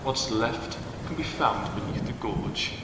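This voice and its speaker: A man playing a cruel, gloating devil in a fan audio drama, speaking with slow, theatrical menace. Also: fairly low pitch, close to an everyday voice. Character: malevolent male devil bound to a bridge, gloating and cruel. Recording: cavernous echo, long reverb, background noise.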